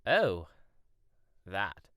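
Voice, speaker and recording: An actor playing a pompous, self-assured young prince who talks in theatrical, flowery formal language in an audio drama. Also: male voice, deep voice, big pitch swings, very slow, heavy background noise, full character voice. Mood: smug, amused. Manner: archaic, flowery formal diction.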